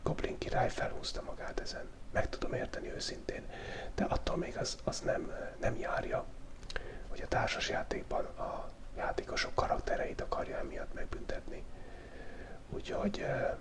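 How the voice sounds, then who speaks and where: A man speaks in a steady whisper, hosting casual ASMR. He has a cold, and he rambles playfully, pausing and hesitating between asides. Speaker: host of a drawing ASMR channel.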